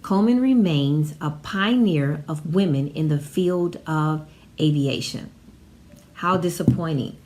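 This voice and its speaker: A woman's voice: sits low, measured pace, a little mellow. An American woman hosting an informal educational vlog, partly reading aloud and partly ad-libbing, with an emphatic delivery that repeats phrases. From Chicago, United States. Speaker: vlog host.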